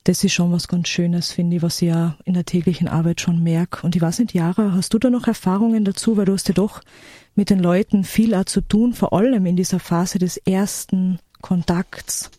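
A woman's voice: lowish voice, steady tone, normal speed, warm tone, no echo, clean studio sound. A woman speaking as a guest in a radio interview, explaining her work conversationally.

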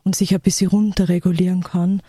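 Guest, support worker, woman, warm dark tone, earnest and steady, dry studio sound. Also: on the low side, a little fast, slightly rough, no room sound. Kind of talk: radio talk guest.

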